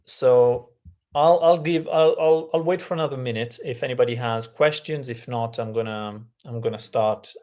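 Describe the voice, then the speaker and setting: Man, Italian native speaker, language coach, unhurried live-stream lesson, frequent ums. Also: medium pitch, a little mellow. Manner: unhurried, many ums and restarts, friendly. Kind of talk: live-stream lesson, reading chat aloud.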